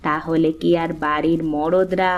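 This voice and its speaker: A woman voicing a kindly older village aunt in a cartoon, gentle, homely and sage in manner. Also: low-pitched, level tone, a little slow, lo-fi sound. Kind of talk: cartoon dialogue acting.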